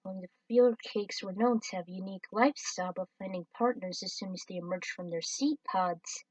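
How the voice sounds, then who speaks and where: A woman's voice: mid-range voice, fairly quick, a little mellow, no room sound. Woman, casual fan-story reader, offhand delivery, distant mic, dry room. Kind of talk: reading aloud a fan story.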